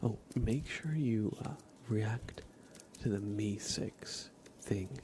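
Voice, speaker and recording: A man's voice: on the low side, slow, mellow, slight background noise. A man hosting an ASMR livestream in a whispered, casual and hesitant manner, chatting and commentating. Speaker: live streamer, host.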